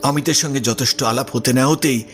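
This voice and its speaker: A man's voice: mid-range voice, brisk, faint music behind. A man reading literary prose as the narrator of a Bengali audio story, in a scripted storytelling style.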